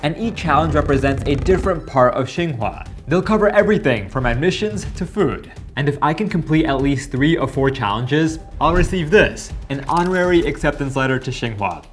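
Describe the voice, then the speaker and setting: A young man hosting a vlog, narrating in an upbeat, joking way over soft music. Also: a little high, animated, fairly quick, hardly any pauses. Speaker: vlog host. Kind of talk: scripted narration and casual vlog chat.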